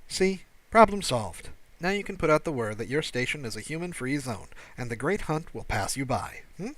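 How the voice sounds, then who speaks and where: A man's voice: medium pitch, big pitch swings, normal speed, fairly bright tone. Man playing a wry, breezy alien rescuer. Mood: lightly accusing. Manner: breezy, dryly teasing, persuasive. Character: wry, kindly male alien rescuer with secret amusement. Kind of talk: audiobook character dialogue.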